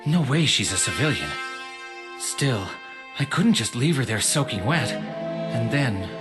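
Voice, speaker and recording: An awkward, earnest young rebel officer in an anime audio drama. His voice swings widely in pitch, hesitant and confiding, with clear background music. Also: big pitch swings, unhurried. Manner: hesitant, sincere, flustered.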